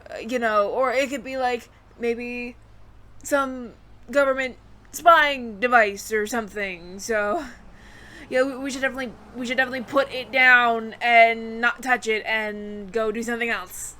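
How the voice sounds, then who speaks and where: A woman's voice: a little high, steady tone, a little slow, pushed and bright, slight background noise. A woman playing a nervous, evasive alien roommate in an audio roleplay, stammering and over-explaining to the listener. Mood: flustered, pleading.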